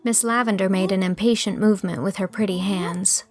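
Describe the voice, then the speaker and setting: A woman's voice: mid-range voice, steady tone, brisk, a crowd in the background. A woman reading scripted storybook narration as the narrator of an audiobook.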